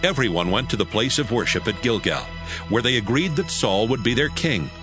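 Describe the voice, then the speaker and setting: A man's voice: mid-range voice, animated, a little fast, music in the background. A man narrating an audio Bible drama as a scripted, dramatic storyteller.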